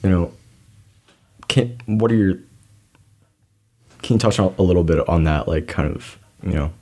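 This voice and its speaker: Deep-voiced young host, rambling. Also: male voice, measured pace, mellow. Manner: rambling, full of fillers, self-deprecating. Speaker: podcast host. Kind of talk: interview.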